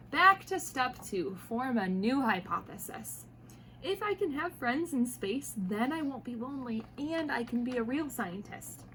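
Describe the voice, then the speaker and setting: A woman with a high voice reads a children's picture book aloud. Her delivery is expressive and playful, aimed straight at young listeners. There is faint noise behind her. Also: low-quality recording. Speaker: mother reading picture books aloud to children. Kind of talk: animated storybook read-aloud.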